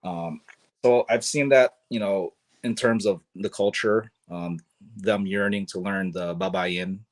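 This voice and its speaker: A man with a very deep, low voice talks thoughtfully in a casual podcast conversation. He often pauses and restarts his sentences, filling the gaps with "um" and "you know.". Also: fairly even intonation. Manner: thoughtful, many fillers and restarts.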